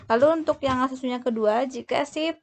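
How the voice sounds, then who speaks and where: Higher-voiced woman lecturer, creaky edges. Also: a little hiss or hum. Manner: halting, often breaking off and correcting herself. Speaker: accounting lecturer. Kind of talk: instructional explanation of worked examples.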